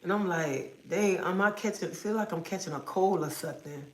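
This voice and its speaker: A woman's voice: on the low side, brisk. A woman hosting a casual livestream from home, feeling sick as if coming down with a cold, rambling and repeating herself. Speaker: livestream host. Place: at home, indoors. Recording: echoey room.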